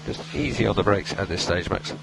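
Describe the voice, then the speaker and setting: A calm male race engineer giving clipped, procedural instructions over team radio, repeating key points. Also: low voice, brisk, creaky, music in the background. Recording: noisy radio link, engine noise.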